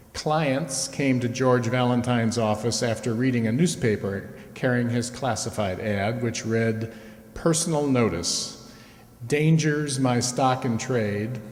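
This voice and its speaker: Guy hosting a stage radio show, reading his intro, medium pitch, echoey hall. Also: a little mellow. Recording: reverberant hall, live stage.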